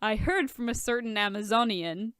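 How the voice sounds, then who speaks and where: A woman's voice: on the high side, normal speed, a bit bright, clean tone, no echo, close to an everyday voice. A woman voicing a mischievous, gossipy male drinking buddy in a story reading, sly and teasing.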